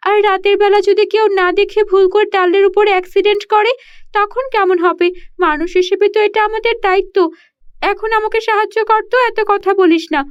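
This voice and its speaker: A woman's voice: extremely high pitch, voice pushed up. A woman voicing a poor, kind-hearted village schoolgirl in a cartoon, talking in an insistent, scolding way. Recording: dull lo-fi audio.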